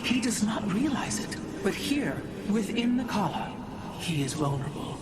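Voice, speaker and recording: A woman's voice: mid-range voice, big pitch swings, normal speed, lightly acted. Devout female alien elder for game drama woman actor, solemn, formal, somewhat far off under heavy noise. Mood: fervent, pleading. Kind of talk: dramatic sci-fi game acting. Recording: distant, very noisy ambient game audio.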